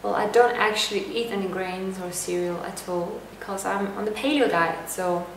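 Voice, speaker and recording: A young woman from Lithuania vlogging a casual to-camera Q&A at home, calm and reflective as she reads out each question and answers it. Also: mid-range voice, normal speed, slight background noise. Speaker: lifestyle vlogger. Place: indoor room at home. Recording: echoey room, voice a bit distant.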